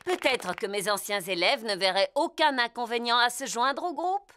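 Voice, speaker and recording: A woman voicing a brisk, enthusiastic lady gazelle schoolteacher with theatrical, instructing delivery in a French cartoon dub. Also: on the high side, a bit bright, a little hiss or hum, lightly acted.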